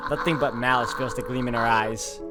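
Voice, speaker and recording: A man's voice: mid-range voice, steady tone, brisk. A man hosting a let's-play, reading visual novel narration aloud and adding casual commentary. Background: loud music.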